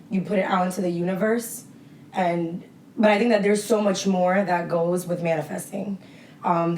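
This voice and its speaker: A young woman co-hosting a casual podcast, a little hoarse, talking earnestly. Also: lowish voice, a little mellow, roomy sound, distant mic, a little hiss or hum. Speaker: podcast co-host. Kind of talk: casual chat.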